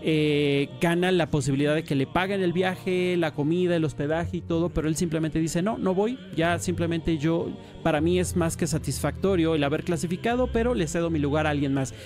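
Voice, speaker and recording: A man hosting a casual podcast, talking in an animated, enthusiastic way with lots of slang. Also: on the high side, fairly quick, hardly any pauses, faint music behind. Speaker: podcast host and StarCraft caster.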